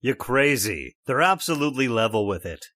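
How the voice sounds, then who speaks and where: A man's voice: mid-range voice, big pitch swings, moderate pace, no echo, studio quality. An actor playing a stubborn, scoffing middle-aged father with a brash manner, in old-time radio drama style. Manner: brash, scoffing, loud laughs.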